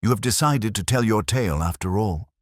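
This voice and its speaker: A man's voice: fairly low pitch, lively intonation, brisk, dry recording, studio quality, pitched above the performer's own voice. A man voicing a shrewd, commanding Victorian detective in an audiobook, speaking formally and incisively. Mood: measured, resolute. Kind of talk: dramatic audiobook character reading.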